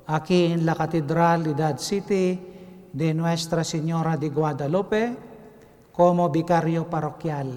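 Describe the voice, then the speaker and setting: Filipino-accented man, priest, high voice, halting non-native Spanish, long church reverb. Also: a little mellow. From Philippines. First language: not Spanish. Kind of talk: homily delivered in learner's Spanish. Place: cathedral.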